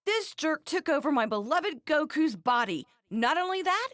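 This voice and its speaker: A woman playing a feisty, opinionated wife and mother in a video game, fiercely protective of her family and quick to get indignant. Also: high voice, sing-song, a bit bright, no room sound, studio quality. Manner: opinionated, emphatic, openly indignant. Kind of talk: scripted video game character banter.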